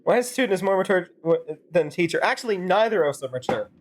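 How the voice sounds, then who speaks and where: A woman's voice: fairly low pitch, very animated, fast talker. A woman streamer improvising rambling, sarcastic commentary and role-play while playing a game. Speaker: streamer fan-dubbing a game.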